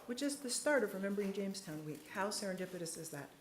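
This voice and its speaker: Woman psychiatrist, earnest lecturer, middle pitch, roomy hall sound. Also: animated, a little hiss or hum. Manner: earnest, measured, slows for emphasis. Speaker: psychiatrist speaker. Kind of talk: lecture and audience Q&A.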